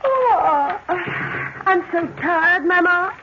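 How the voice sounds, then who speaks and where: An actress playing a young, romantic, excitable daughter in an old-time radio melodrama. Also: female voice, very high-pitched, drawn-out words, full character voice. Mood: weary, dreamy. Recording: muffled, noisy old radio recording.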